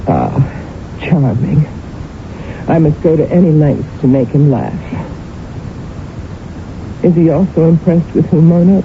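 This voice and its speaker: An actress in a radio drama playing a guilt-ridden, grieving wife who is a stage comedienne, with a wry, brittle delivery. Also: female voice, low-pitched, animated, unhurried, pauses often. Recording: muffled, noisy old radio broadcast.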